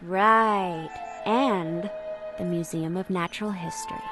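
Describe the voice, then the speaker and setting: A woman's voice: lowish voice, slow pace. An actress playing a knowledgeable, composed female occult scholar in a video game. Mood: composed, explanatory. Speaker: academic researcher character. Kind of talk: acted video game dialogue. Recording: music behind the dialogue.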